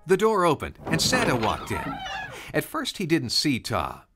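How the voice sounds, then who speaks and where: A man narrating a scripted children's story in an expressive, conversational way. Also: fairly high pitch, a little slow, music in the background. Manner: conversational, expressive, rhetorical questions.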